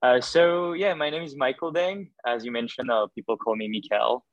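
A young man talks through his answers hesitantly, circling back with lots of ums and restarts. His voice sits at an ordinary middle pitch, recorded dry with no room sound at all. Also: moderate pace, dry recording, lo-fi sound. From France. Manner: hesitant, many fillers and restarts. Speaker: podcast guest, doctoral student. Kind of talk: casual interview answers.

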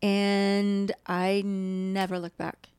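Thirties woman, slightly raspy, under the weather, animated and giggly co-host; dry, close sound. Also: mid-range voice, drawn-out words, no echo. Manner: laughs often, animated storytelling. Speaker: podcast co-host. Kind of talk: casual chat.

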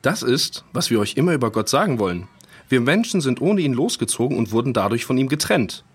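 A gentle, earnest foster father played by a man in a children's audio play. His voice is husky, with lively intonation as he explains things. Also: medium pitch, fairly quick. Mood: earnest, comforting. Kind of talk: children's audio drama acting.

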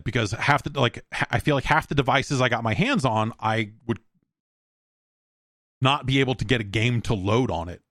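An American man hosting a podcast alone in a casual, rambling, halting way, thinking aloud and speaking bluntly. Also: mid-range voice, a bit bright, no echo, studio quality. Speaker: podcast host. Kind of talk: casual solo podcast talk, reading listener emails.

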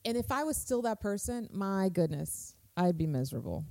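A woman's voice: mid-range voice, big pitch swings, moderate pace, gravelly, warm tone. A woman in her forties from Croatia with a Croatian accent, chatting candidly on a podcast and laughing at herself. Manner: candid, laughing, self-deprecating. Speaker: podcast guest, social media worker. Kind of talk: casual podcast chat.

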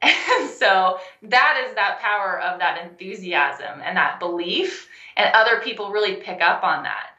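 A young adult woman, a health coach and guest, telling her story in a casual interview, animated and laughing often. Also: mid-range voice, unhurried, hardly any pauses, reverberant, lo-fi sound. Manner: animated, laughs often, acts out quoted phone calls.

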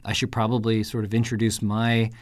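A man's voice: on the low side, completely flat delivery, brisk, no room sound, studio quality. A man born in Japan hosting a podcast, reading a scripted introduction and then asking questions with a few hesitations. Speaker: podcast host. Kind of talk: podcast interview.

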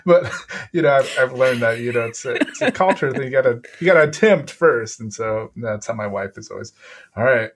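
A man's voice: mid-range voice, animated, a little fast, a little hiss or hum. A man, a guest in casual chat, who rambles and restarts his sentences. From United States. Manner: rambling, self-interrupting storyteller with repeated restarts.

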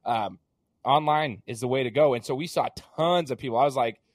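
A man hosting a podcast interview in a casual, chatty way, hoarse as he gets over an illness. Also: mid-range voice, a little fast, no room sound, studio quality. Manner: chatty, many fillers and restarts. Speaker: podcast host.

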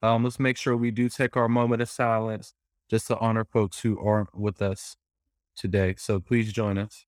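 A man co-hosting a casual podcast, sounding nasal from a summer cold, relaxed and friendly. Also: lowish voice, normal speed, dry recording, studio quality. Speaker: podcast co-host.

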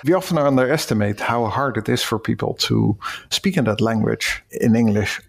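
Dutch-accented man, gravelly and husky. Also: mid-range voice, moderate pace. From Netherlands. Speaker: cross-cultural consultant. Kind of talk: podcast interview.

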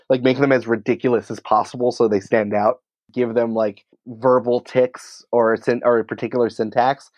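American guy chatting as a podcast guest, lowish voice, casual and emphatic, kinda lo-fi sound. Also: darkish tone, no room sound. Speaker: podcast producer and writer, interview guest. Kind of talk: conversational interview answers.

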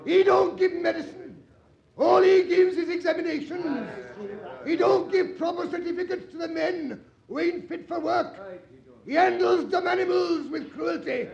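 An actor playing an angry, belligerent miner in an old radio drama, haranguing in rough, ungrammatical working-class speech. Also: male voice, very high-pitched, moderate pace, a little mellow. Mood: indignant, rousing. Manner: bellowing, rabble-rousing, rough ungrammatical speech. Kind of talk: 1940s radio acting. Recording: dull, echoey old radio recording.

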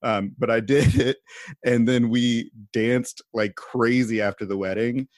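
A man's voice: medium pitch, fairly even intonation, a little slow, a little mellow, no echo. A man hosting a podcast as a pastor, chatting casually, laughing often and speaking loosely with fillers. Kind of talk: casual chat. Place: remote call.

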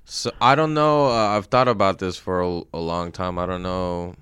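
Man, exceptionally smooth resonant voice, dry sound, halting delivery, casual podcast co-host. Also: low-pitched, fairly even intonation, a bit bright, no echo. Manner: halting, groping for words. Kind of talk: casual chat.

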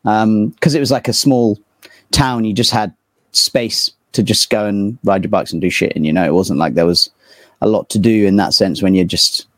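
A man's voice: fairly low pitch, normal speed, no echo. A man in his thirties with a southern English accent, chatting casually as an interview guest in an informal, rambling, hesitant way. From England. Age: 30s. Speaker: interview guest, metal band vocalist. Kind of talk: casual chat.

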